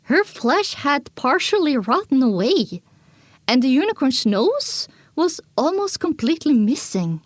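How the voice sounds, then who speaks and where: A woman's voice: sits high, very animated, a little slow, no room sound, clean studio sound. A woman narrating an audio drama in the first person, with a dramatic scripted delivery.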